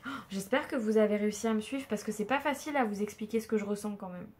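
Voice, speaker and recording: Woman, head cold, nasal and stuffy; chatty tarot reader, halting, lots of "euh". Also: mid-range voice, darkish tone. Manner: hesitant, many fillers and restarts. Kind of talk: casual spoken tarot reading to viewers.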